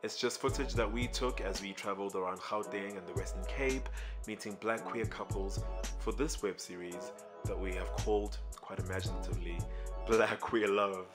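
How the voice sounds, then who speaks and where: A man narrates a web series in a relaxed, wry voice-over. His voice sits fairly low. Clear music plays underneath. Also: normal speed.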